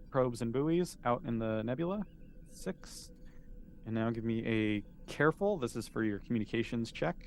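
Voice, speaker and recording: A man's voice: mid-range voice, creaky, slight background noise. A man acting as game master, casually narrating a tabletop game and calling for rolls.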